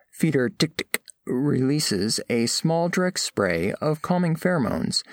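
A man's voice: medium pitch, no echo, clean studio sound. A man playing a formal, curious alien scholar in an audio drama, narrating observations of humans with precise, clinical phrasing. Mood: solemn, observant.

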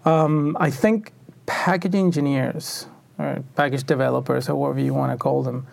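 A man's voice: mid-range voice, fairly even intonation, normal speed, creaky. A man from Venezuela answering interview questions in a thoughtful, conversational way, often restarting his sentences. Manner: thoughtful, many restarts and fillers. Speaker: packaging engineer, interview guest.

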